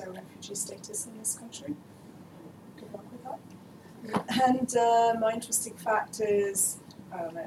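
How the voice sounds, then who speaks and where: A woman introduces herself at a meeting in a measured way. She is heard from a distance, and loud noise runs under her voice. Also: medium pitch. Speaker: interpreter. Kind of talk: round-the-table introduction. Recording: distant mic, noisy room.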